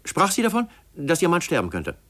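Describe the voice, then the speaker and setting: Pressing official interrogator, fast, swooping intonation, formal, vintage German radio courtroom style. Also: male voice, a little high, wildly swooping pitch, a bit bright, exaggerated voice. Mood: insistent. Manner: formal, leading questions. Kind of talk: 1950s German radio drama acting.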